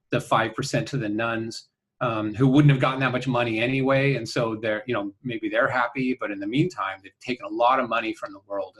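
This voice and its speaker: A man answering interview questions conversationally, earnest and halting, often checking in with a "right?". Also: medium pitch, a bit bright, rough recording. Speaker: interview guest, company founder.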